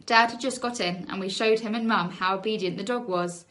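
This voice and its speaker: Expressive woman reading a children's story. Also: mid-range voice, brisk. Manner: expressive, dramatic emphasis on key words. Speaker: teacher reading a children's book aloud. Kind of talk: animated storybook narration.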